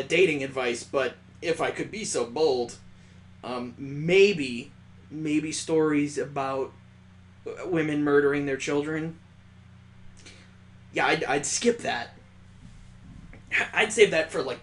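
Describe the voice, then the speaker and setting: A man's voice: on the high side, fairly bright tone, a little hiss or hum. A man hosting a comedic let's play of a visual novel, reading aloud and tossing in sarcastic, joking asides. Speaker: gamer host of a let's play.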